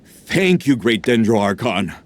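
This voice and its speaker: A man's voice: fairly low pitch, big pitch swings, unhurried. An actor voicing an earnest, burdened working family man of middle age in a video game, speaking expressively. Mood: enlightened, grateful. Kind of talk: animated game voice acting.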